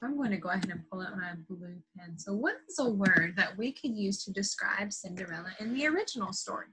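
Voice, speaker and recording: Woman, primary teacher, bright forceful voice, slightly husky, simple questioning phrases, stretched key words, children's lesson. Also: mid-range voice, animated, fairly quick. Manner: simple, patient phrasing with frequent questions to young listeners. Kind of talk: interactive children's lesson after a read-aloud.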